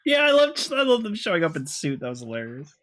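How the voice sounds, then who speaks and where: A man's voice: a little high, huge pitch swings, fast talker, fairly bright tone. A man co-hosting a podcast in casual fan chat, loose and informal, swearing often. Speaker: podcast co-host.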